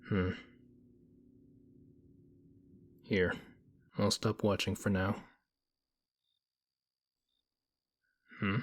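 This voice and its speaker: A man playing a stoic young nerd, reserved and quietly affectionate, speaking in deadpan, measured phrases in an intimate audio roleplay. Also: on the low side. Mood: gentle, drowsy calm.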